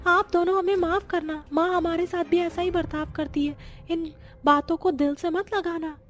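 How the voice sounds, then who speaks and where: A woman's voice: very high-pitched, fairly even intonation, moderate pace. A woman voicing a kind, dutiful young witch daughter in a cartoon story, speaking earnestly. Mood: apologetic. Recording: music behind the voice.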